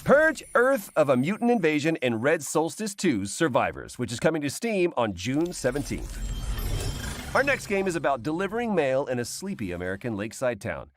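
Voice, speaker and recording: Male co-host, higher-than-average voice, lively intonation, scripted presenting. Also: moderate pace, faint music behind. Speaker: showcase co-host.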